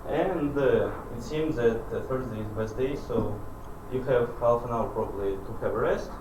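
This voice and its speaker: A man running a lab class, talking casually in a halting, loose way with chuckles. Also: mid-range voice. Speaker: lab instructor. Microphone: distant room mic. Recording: echoey, noisy room from far off.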